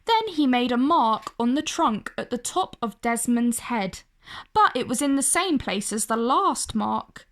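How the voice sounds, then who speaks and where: A woman storyteller reading a picture-book tale aloud for children in an expressive narrating style. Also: fairly high pitch, a little slow.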